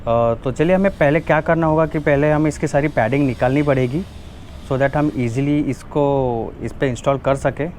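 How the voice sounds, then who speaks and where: A man's voice: fairly high pitch, steady tone, normal speed, darkish tone, a little hiss or hum. A man with an Indian accent giving a casual, informal hands-on product walkthrough, mixing in English phrases. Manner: informal, switches between Hindi and English. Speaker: motovlogger presenting a product. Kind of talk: casual unboxing and installation walkthrough.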